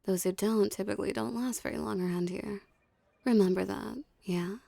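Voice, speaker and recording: A woman's voice: mid-range voice, steady tone, dark tone, no room sound. A woman playing a dominant, teasing mafia boss in an ASMR roleplay, speaking with cool, condescending flirtation. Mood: dry, knowing. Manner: smooth menacing flirtation, condescending, unhurried. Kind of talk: ASMR roleplay, scripted character acting.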